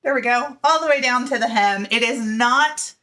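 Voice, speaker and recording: Woman, 40s, knitting podcast host, casual chat to camera, giggly, bright voice. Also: fairly high pitch, moderate pace. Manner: chatty and giggly, with many fillers. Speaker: middle school teacher.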